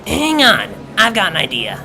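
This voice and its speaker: A man's voice: high-pitched, wildly swooping pitch, normal speed, sharp tone, soft background music, heavily acted. A man voicing a young female pony DJ in a story, awkward, good-natured and casual. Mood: thoughtful.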